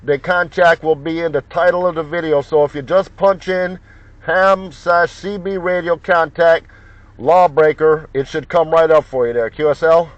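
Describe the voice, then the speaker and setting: Higher-pitched CB operator, muffled sound. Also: male voice, fairly even intonation, moderate pace, a little hiss or hum. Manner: repeats names and call phrases. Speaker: CB radio operator recording contacts. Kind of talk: radio contact chatter with repeated call signs.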